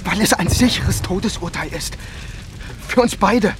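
A man playing a stubborn, hostile low-ranking Soviet officer in a radio crime drama, gruff and indignant, with bluster over fear. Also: unhurried. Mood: frightened pleading. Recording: loud outdoor vehicle noise behind.

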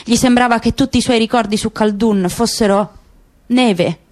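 A woman narrating a literary radio reading in a measured, unhurried storytelling manner. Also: fairly high pitch, a little mellow.

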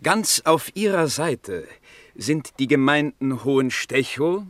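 A smooth-tongued party spokesman in a radio drama. He sounds formal and oratorical at a middling pitch, recorded very dry. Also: lively intonation, slow, no room sound. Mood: ceremonious. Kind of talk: German radio play acting.

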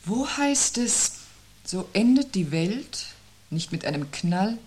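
An actress playing a sober, sceptical woman in a radio play, questioning her partner with dry, gentle irony. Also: mid-range voice, big pitch swings, slow pace, slightly rough, heavily acted. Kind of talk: 1970s German radio play dialogue.

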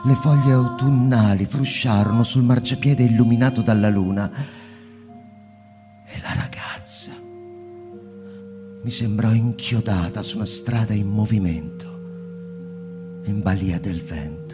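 A man playing a book-burning fireman in a radio drama, narrating intensely and slowly, then chatting with a neighbor. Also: on the low side, lightly acted. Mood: quiet. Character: fireman who burns books, middle-aged man. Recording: narration over live music.

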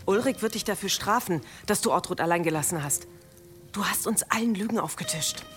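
An actress playing a middle-aged, resolute village mother in a German radio play, brisk and commanding, practical in how she speaks. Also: mid-range voice, soft background music, natural voice. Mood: grave, urgent.

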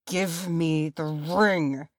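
A woman's voice: fairly low pitch, sing-song, a little slow, slightly rough, dry recording, clean studio sound, full character voice. A woman voicing a proud male warrior in a fantasy story, bitter and menacing, with a snarling, hissing delivery. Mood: menacing fury.

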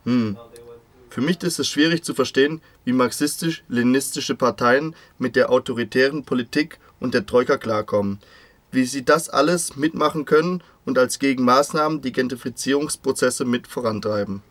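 A man's voice: mid-range voice, normal speed, fairly bright tone, no room sound. A man reading an interviewer's questions as voice-over for a radio feature.

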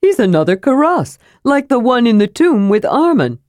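Storybook kender, male, small and curious, eager high lilting delivery, acted by a female narrator. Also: big pitch swings, normal speed, darkish tone, no echo, studio quality. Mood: chatty, questioning. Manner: eager, inquisitive.

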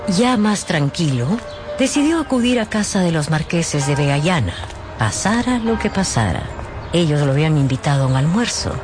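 Expressive radio novel narrator over music. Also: medium pitch, normal speed.

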